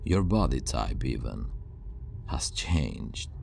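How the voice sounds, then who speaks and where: Very deep, gravelly villain scientist played by a man. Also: very animated, a little mellow, a little hiss or hum, heavily acted. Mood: intent, fascinated. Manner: measured, deliberate, pausing, reassuring. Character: superpowered male scientist villain, calm, obsessive, soothingly menacing. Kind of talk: ASMR roleplay, intimate scripted monologue to the listener.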